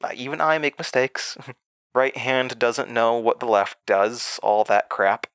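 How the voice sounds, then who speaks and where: A man's voice: medium pitch, unhurried, a bit bright, clean tone, no echo, clean studio sound. A man in a horror audio drama playing a smug, menacing entity that speaks through a young man's voice, mocking and condescending. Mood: wry, guarded.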